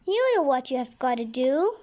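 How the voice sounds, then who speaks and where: A woman's voice: high-pitched, very animated, normal speed, warm tone, slight background noise. A woman voicing a mischievous, cocky young boy who schemes and bosses in a children's storybook. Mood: bossy, encouraging.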